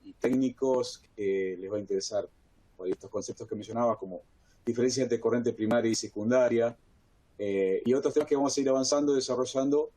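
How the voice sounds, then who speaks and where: A man's voice: mid-range voice, steady tone, brisk, mellow, slightly rough. A man from Argentina with an Argentine accent, moderating a technical webinar in an enthusiastic, rambling way. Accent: Argentine Spanish.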